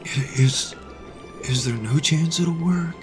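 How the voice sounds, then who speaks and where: A man's voice: fairly high pitch, takes it slowly. An actor playing an earnest, anxious and protective young man in a fantasy audio drama, with a hesitant, emotive delivery. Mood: dismayed. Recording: music behind the voice.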